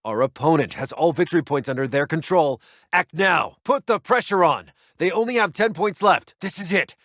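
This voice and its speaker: An actor playing a dutiful military intelligence officer in a war game, giving clipped, urgent battlefield reports. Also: male voice, fairly high pitch, no echo, studio quality, natural voice. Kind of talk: military game announcer voice lines.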